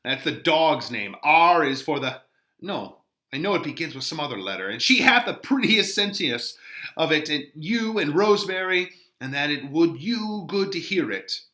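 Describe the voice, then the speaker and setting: A man's voice: fairly high pitch, sing-song, normal speed, pushed and bright, roomy sound, pitched above the performer's own voice. A man voicing a chatty, fussy, protective older nursemaid, rambling and exclaiming theatrically in a Shakespeare play reading. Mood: flustered, fond.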